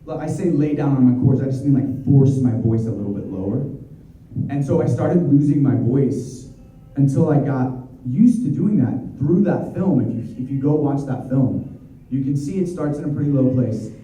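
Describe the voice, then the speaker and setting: Dark-toned man in an echoey convention hall. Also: medium pitch, moderate pace. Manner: chatty, rambling with fillers and restarts. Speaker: voice actor at convention Q&A. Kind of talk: casual convention panel answers. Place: convention hall panel room. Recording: echoey hall from far off, muffled.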